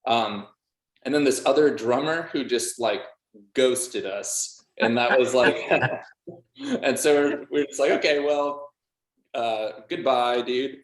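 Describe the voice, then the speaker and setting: A man's voice: mid-range voice, takes it slowly. A man with a laid-back, rambling way of talking, full of "like", answering casually as a musician in an interview. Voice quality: casual, filler-heavy speech with frequent "like". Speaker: band member and music producer being interviewed. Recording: roomy, echoey sound.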